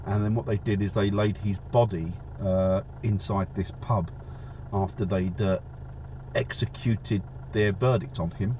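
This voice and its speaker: A man's voice: lowish voice, fairly even intonation, measured pace, mellow. A man from North London chatting casually while driving, rambling with lots of fillers and restarts. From North London, England. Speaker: vlogger. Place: in a car in the countryside. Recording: car noise in the background. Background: road and car noise.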